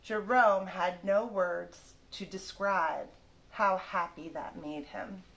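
A woman's voice: mid-range voice, steady tone, creaky, fairly bright tone, low-quality recording. A woman in her thirties reading a children's picture book aloud like a teacher, stressing words carefully one by one. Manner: careful, drawn-out word-by-word emphasis. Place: small living room, indoors.

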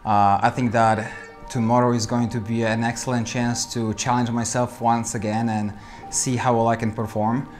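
A man in his thirties from Poland with a Polish accent, speaking reflectively in an interview with deliberate, measured phrasing. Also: mid-range voice, flat delivery. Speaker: amateur cyclist being interviewed. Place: indoor studio with dark backdrop. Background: music.

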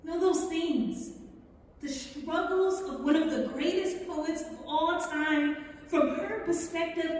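A young woman reading an impassioned speech from a lectern, earnest, deliberate and emphatic. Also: extremely high pitch. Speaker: stage reader. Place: large theatre stage, indoors. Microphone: far camera in the audience. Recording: very echoey theatre hall from far off.

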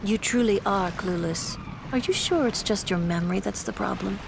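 A woman playing a composed, stern, world-weary young mage in a video game, speaking in a measured, solemn, slightly condescending way. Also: mid-range voice, gravelly, background noise, lightly acted. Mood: cool disdain. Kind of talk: video game cutscene acting.

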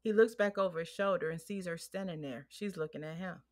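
A woman's voice: fairly low pitch, a little fast. An American woman giving scripted, present-tense narration for an audio drama. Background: music.

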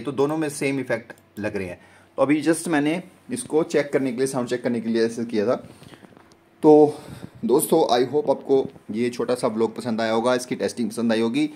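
A man in his thirties with an Indian accent explains gear step by step in a casual vlog style, recorded indoors on a phone. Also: mid-range voice. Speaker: vlogger and musician. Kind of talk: casual product demo vlog. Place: small home studio room, indoors.

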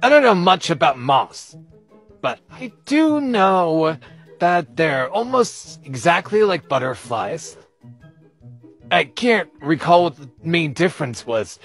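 Man as a husky, awkward nerdy guy. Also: fairly high pitch, heavily acted. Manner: halting, awkward, muttering. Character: awkward, nerdy, defensive male butterfly watcher.

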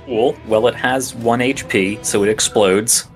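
A man's voice: mid-range voice, fairly even intonation, measured pace. A man playing a tabletop game, casually talking through his moves. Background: music.

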